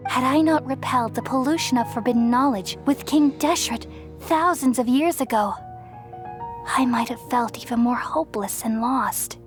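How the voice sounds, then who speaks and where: A woman playing a gentle, wise, ancient tree goddess in a video game, speaking in a measured, reassuring and solemn way. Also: high-pitched, natural voice. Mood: grave. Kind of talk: dramatic game voice acting. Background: music.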